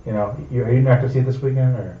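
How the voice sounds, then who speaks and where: A man's voice: medium pitch, steady tone, very fast, distant mic. A man hosting a casual pop-culture chat among friends, speaking conversationally. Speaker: podcast host. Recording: echoey, muffled, off the mic.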